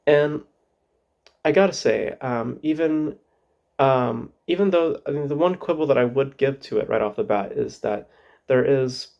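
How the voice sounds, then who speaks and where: A man hosting a casual film review, hoarse from a cold, rambling with many fillers and restarts. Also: mid-range voice, unhurried, darkish tone, lo-fi sound. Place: home.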